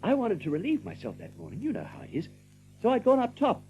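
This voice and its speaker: An actor voicing a nervy, gentle rabbit survivor in an audio drama, confiding and repeating his phrases. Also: high voice, wildly swooping pitch, fast, dull audio, slight background noise, heavily acted. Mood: shaken, rueful. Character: nervy, soothing male rabbit survivor of a massacre.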